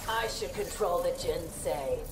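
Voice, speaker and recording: An arrogant young warrior woman from a fighting game. She is curt and defiant in a low voice. The sound is roomy and distant under loud music. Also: expressive, measured pace, background noise. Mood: haughty defiance. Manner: curt, haughty. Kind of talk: video game voice acting.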